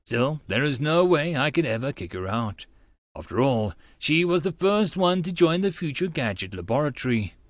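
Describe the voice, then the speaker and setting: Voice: medium pitch, sing-song, normal speed, no room sound, clean studio sound. An audiobook narrator giving a theatrical, emphatic first-person reading of an anime-style story. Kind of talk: dramatic first-person reading of a visual novel.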